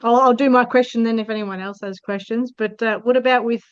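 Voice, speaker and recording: A woman's voice: fairly high pitch, steady tone, a little fast, smooth, no room sound. A woman participant on a video call asking a question, polite and a little hesitant. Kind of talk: casual question. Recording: dry dull lo-fi call audio.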